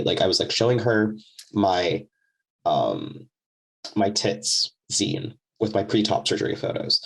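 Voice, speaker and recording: A young man talking as a podcast guest in a casual, rambling way, full of fillers and quick laughs, with a deep voice. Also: vocal fry, breathy edge, poor audio. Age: young adult. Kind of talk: casual conversation.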